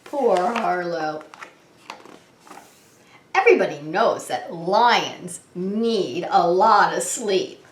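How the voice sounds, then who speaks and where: Female preschool story time host, animated and drawn-out storybook delivery, roomy echoing recording. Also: on the low side. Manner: dramatic, drawn-out emphasis for small children. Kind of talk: expressive children's storybook reading.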